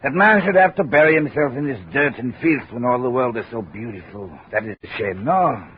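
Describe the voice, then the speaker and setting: An actor playing an excitable middle-aged French steelworker with a French accent and broken English, in a 1950s radio drama. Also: male voice, medium pitch, brisk, slight background noise, close to an everyday voice. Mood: effusive. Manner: broken English, emotional, flaring into anger. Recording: muffled old radio broadcast.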